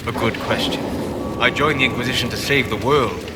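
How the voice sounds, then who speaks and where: An actor playing a calm, scholarly elven mage in a video game, speaking in a measured, formal and articulate way. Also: male voice, medium pitch, lightly acted. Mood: composed, wry. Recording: game audio with background noise.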